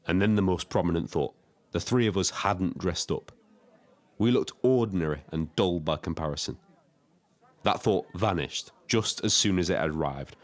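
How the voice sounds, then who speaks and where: An actor playing a lonely, reflective taxi driver in an audio drama, telling his story in a measured, literary way. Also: sits low, close to an everyday voice. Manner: wordy, literary, measured first-person storytelling. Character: Frank, lonely reflective male Brighton taxi driver and diarist. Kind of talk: scripted dramatic diary narration.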